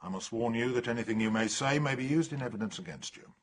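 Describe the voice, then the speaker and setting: An actor playing a brisk, blunt chief inspector in a radio drama, with an English accent and an authoritative manner. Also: male voice, mid-range voice, natural voice. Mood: official.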